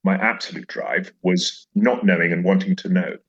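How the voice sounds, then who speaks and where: A man's voice: low-pitched, moderate pace, creaky, breathy edge, dull audio. A man speaking reflectively as an interview guest, emphatic and repeating phrases for effect. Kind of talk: reflective interview conversation with recited verse.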